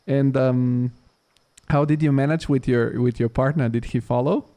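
A man's voice: medium pitch, steady tone, normal speed, mellow. A man who grew up in Italy, hosting a podcast and asking long rambling questions full of fillers. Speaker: podcast host. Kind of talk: casual interview.

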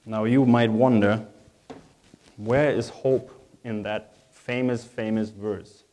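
A man's voice: fairly low pitch, steady tone, takes it slowly, warm tone. German-accented male pastor preaching. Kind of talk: sermon.

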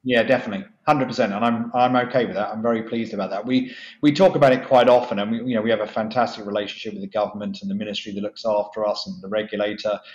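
A man in his fifties with a low voice speaks as an executive guest in an interview. He is emphatic and conversational, dropping in asides as he goes. The sound is roomy and slightly dull, like a computer microphone on a video call in an office. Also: steady tone, very fast. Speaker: postal company chief executive, interview guest. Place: office, indoors.

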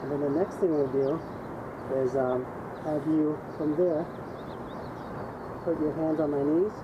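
A man's voice: fairly high pitch, measured pace. Young guy coaching acro yoga in an outdoor plaza, laid-back and talky, dull lo-fi camera sound. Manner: relaxed, chatty instructor, talks while basing on his back. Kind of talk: hands-on coaching during practice. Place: outdoors under a shade canopy on mats in a plaza. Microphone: camera mic at a distance. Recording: dull lo-fi camera audio with loud outdoor noise. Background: loud outdoor street ambience, birds and traffic.

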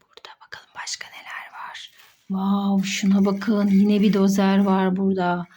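A woman's voice: mid-range voice, completely flat delivery, normal speed, dark tone, husky. A young woman, a mother, playfully narrating toy play for children, full of exclamations and questions to young viewers.

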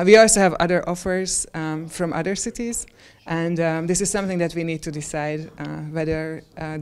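Woman from Hungary, 40s, lowish smooth voice, halting with ums, handheld mic in a stone room. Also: a little mellow. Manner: hesitant, many ums and restarts. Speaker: interviewee, project member. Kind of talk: on-the-street interview answers. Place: stone-walled room, indoors. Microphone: handheld interview mic.

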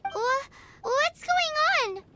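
Tiny squeaky game fairy girl, excitable, super high and bouncy, loud music behind. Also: very slow, heavily acted. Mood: surprised, curious. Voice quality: squeaky, childlike. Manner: bubbly, exclaiming. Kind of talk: video game voice acting.

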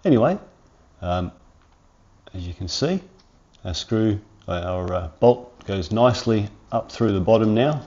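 A man in his forties with a cold and sniffles, chatting through a hands-on workshop tutorial in a relaxed, rambling way from his home garage. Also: fairly low pitch, measured pace, long pauses, muffled recording. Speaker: workshop channel host.

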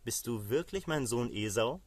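A man's voice: medium pitch, expressive, moderate pace, close to an everyday voice. A man voicing an old father in a Bible story, a solemn patriarch who speaks in formal pronouncements. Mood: wary.

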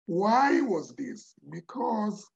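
A man's voice: high-pitched, very animated, very slow, creaky. An older man from Mozambique, a Tsonga speaker, telling his story as a podcast guest, repeating words and saying "you know" often. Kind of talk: reflective storytelling in an interview. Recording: dull lo-fi audio.

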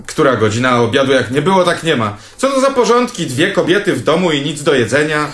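A man's voice: on the high side, sing-song, fairly quick, a bit bright. Grumbling, proud man in an echoey room. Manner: haughty, complaining. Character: grumbling, proud, eccentric writer nearing forty. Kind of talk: radio play acting. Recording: echoey room, clinking dishes.

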